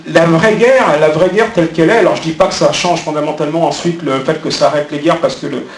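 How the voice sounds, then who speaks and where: A man's voice: a little high, fast talker. Earnest french guy on a panel, far off mic, echoey hall. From France. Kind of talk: panel discussion. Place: conference hall at a trade fair. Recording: echoey hall, distant mic, faint noise.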